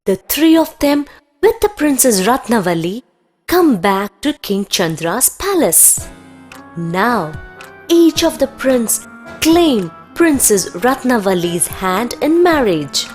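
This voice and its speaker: Slow, animated woman storyteller. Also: a little high, faint music behind. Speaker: narrator. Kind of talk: scripted children's story narration.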